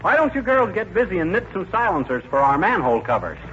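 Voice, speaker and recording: An actor playing a brash, wisecracking husband with a folksy, teasing, slangy delivery in 1940s radio comedy. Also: male voice, high voice, very animated, fast talker. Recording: muffled 1940s radio recording, loud noise.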